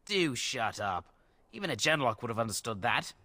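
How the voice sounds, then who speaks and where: A man playing a smug, smooth-talking bandit leader in a fantasy game, mock-polite and sardonic. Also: mid-range voice, big pitch swings, moderate pace, sharp tone. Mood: irritated, sardonic.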